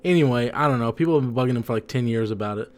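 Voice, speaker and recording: A young man chatting loosely on a podcast he co-hosts, a little tipsy. His talk wanders, full of ums and likes, in a mid-pitched everyday voice. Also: racing. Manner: rambling, heavy on fillers. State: a bit tipsy from drinks. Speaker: podcast co-host. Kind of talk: casual chat.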